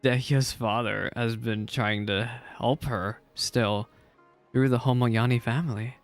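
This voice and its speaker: A young man chatting excitably as he reacts to a game, talking over the dialogue. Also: mid-range voice, unhurried, faint music behind. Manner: excitable, chatty, laughs often. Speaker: gaming reaction streamer. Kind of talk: casual reaction commentary.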